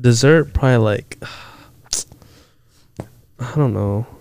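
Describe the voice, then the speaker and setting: A man's voice: medium pitch, extremely slow, darkish tone, clear, resonant tone. A young man co-hosting a podcast in casual chat, joking loosely, laughing a lot and swearing freely. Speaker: podcast co-host.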